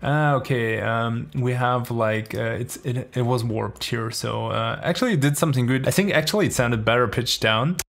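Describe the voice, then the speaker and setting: A man in his thirties with a German accent, speaking at a middling pitch. He chats loosely through a home-studio tutorial and keeps padding his sentences with fillers. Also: normal speed, vocal fry, few pauses, a bit bright. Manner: chatty, rambling, lots of "like" fillers. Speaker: music production tutor. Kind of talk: casual screen-recorded tutorial. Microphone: studio microphone near the face.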